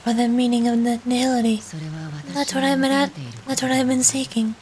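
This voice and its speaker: A woman streamer reading a game's story aloud in a dramatic, deliberate way. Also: on the high side, flat delivery, a little mellow.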